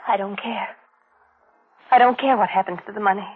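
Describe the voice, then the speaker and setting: An actress playing a fragile, devoted, distraught woman in an old-time radio mystery, her voice breathy and husky. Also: medium pitch, brisk, soft background music, lightly acted. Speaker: radio drama actor. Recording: muffled, narrow-band vintage radio recording.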